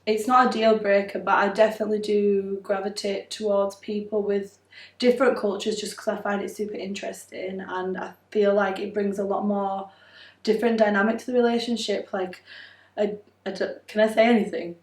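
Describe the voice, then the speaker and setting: A woman's voice: mid-range voice, little pitch movement, normal speed. A young woman chatting casually, speaking frankly and laughing often. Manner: frank and giggly. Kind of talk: casual chat. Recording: echoey room, far from mic.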